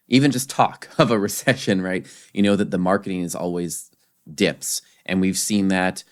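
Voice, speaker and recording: A man co-hosting a casual discussion podcast, rambling with many restarts and fillers. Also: deep voice. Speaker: podcast co-host.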